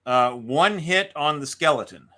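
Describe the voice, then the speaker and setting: A man's voice: on the high side, expressive, a little slow, bright, forceful tone. A man hosting a solo board game session, talking casually through his moves and dice counts as he thinks aloud. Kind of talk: casual live play-by-play with narrated moves.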